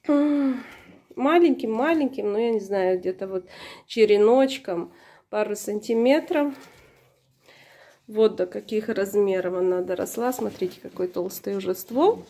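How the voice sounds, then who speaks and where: A woman with a fairly high, mellow voice talks casually through a home video. She sounds slightly hoarse, as if under the weather, and pauses mid-sentence as she searches for words. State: slightly hoarse from being unwell. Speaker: plant vlogger. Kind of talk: casual unscripted narration of own video. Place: indoors, room in a flat. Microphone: handheld camera or phone, behind the camera.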